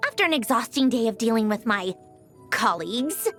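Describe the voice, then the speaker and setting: A woman's voice: on the high side, animated, fairly quick, a bit bright, soft background music. A woman voicing a prim, wry clockwork marionette in a video game, composed and formal, dryly teasing, aloof but fond. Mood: wry, confiding. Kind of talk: scripted video game character voice lines.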